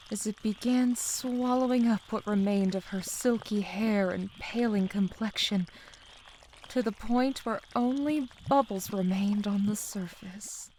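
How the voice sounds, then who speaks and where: A woman's voice: mid-range voice, a little mellow, slight background noise, low-quality recording. A woman giving a scripted, dramatic story narration for a comic dub. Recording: faint bubbling mud ambience behind voice. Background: gooey quicksand sound effects.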